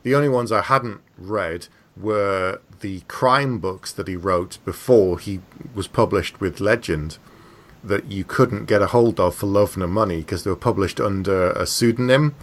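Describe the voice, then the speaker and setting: A man's voice: on the low side, a little slow. A man hosting a podcast, talking casually and conversationally with his guest about books. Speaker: podcast host, interviewer. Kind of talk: casual conversational book chat.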